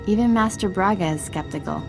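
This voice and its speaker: Woman acting as resolute female Jedi knight, measured and earnest, video game, music behind. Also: mid-range voice, vocal fry, natural voice. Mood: earnest, focused.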